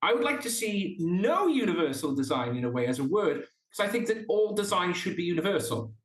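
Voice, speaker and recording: A man's voice: sits high, very animated, brisk, roomy sound. A man from South Wales with a Welsh accent, a podcast guest speaking earnestly and often restarting his sentences. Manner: earnest, with frequent restarts and stumbles. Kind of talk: casual interview chat.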